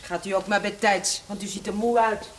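An actress playing a caring, bossy housekeeper in a period television drama, with a brisk, motherly, fussing way of talking. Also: medium pitch, fairly even intonation, roomy sound, a little hiss or hum, lightly acted, low-quality recording.

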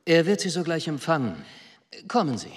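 Excitable, curious private secretary. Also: male voice, on the high side, normal speed, big-room sound, very noisy. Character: eager, curious, easily astonished male private secretary. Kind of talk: radio drama acting.

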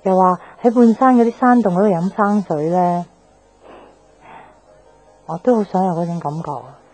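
A woman's voice: lowish voice, moderate pace, dark tone, close to an everyday voice, poor audio. An actress playing a restless young woman in a radio drama, talking in an insistent, explaining way. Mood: wistful, insistent. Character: restless young woman friend craving a new life. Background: faint background music.

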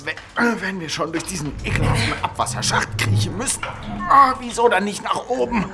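An actress playing a disgusted, sarcastic companion who grumbles reluctantly in a radio drama. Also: female voice, mid-range voice, expressive, moderate pace, natural voice. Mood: disgusted, grumbling.

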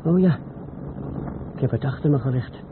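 An actor playing a reckless truck driver in a radio play. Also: male voice, medium pitch, normal speed, natural voice. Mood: cocky, carefree. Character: reckless younger truck driver talking to himself, turning panicked. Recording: muffled noisy old radio play recording.

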